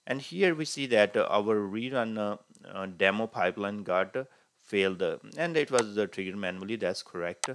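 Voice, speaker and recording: A man teaching a screen-recorded software tutorial, talking unhurriedly with frequent "uh" fillers as he clicks through each step. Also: on the low side, animated, moderate pace, dry recording. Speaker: tutorial instructor. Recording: faint hiss behind voice.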